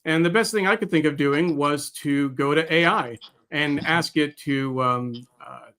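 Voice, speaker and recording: A man co-hosting a live show casually, with a hesitant, halting delivery full of pauses. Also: on the high side, a bit bright, clean tone. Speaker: co-host.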